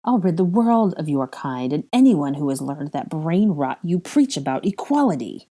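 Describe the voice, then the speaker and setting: A woman voicing a wicked storybook witch, mocking, gloating, drawn-out sneers, mellow tone. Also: sits low, big pitch swings. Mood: contemptuous, angry. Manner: taunting, sneering, drawn-out contemptuous delivery.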